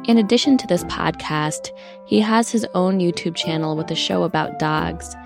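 A woman's voice: fairly low pitch, moderate pace, vocal fry, music in the background. A woman hosting a podcast, asking questions and narrating between interview segments. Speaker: podcast host.

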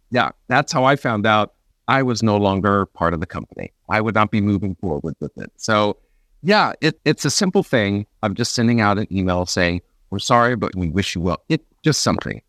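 A man hosts a conversational interview in a fairly low voice. He is agreeable and sympathetic, talking like a peer. The sound is dry and close, without room reflections. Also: expressive, normal speed, fairly bright tone, dry recording. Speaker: interview host and actor.

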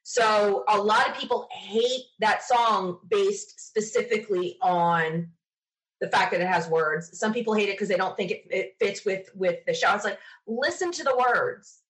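Woman from the us, podcast guest, chatty and into it, medium pitch, telling stories casually. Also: moderate pace, a bit bright, low-quality recording. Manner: chatty, enthusiastic storytelling. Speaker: interview guest, sci-fi podcaster. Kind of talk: casual interview chat, animated anecdotes.

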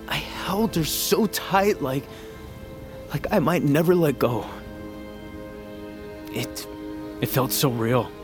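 An actor playing a wounded, bitter young noble son in a fantasy audio drama, earnest and brooding, with halting delivery. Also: male voice, medium pitch, close to an everyday voice. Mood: sorrowful, confessional. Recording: music under the voice.

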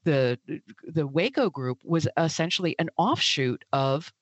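A woman's voice: deep voice, lively intonation, measured pace, no room sound. A woman with a Midwestern accent, a guest author on a podcast interview, explaining her subject at length in an easy, informal way. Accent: Midwestern American.

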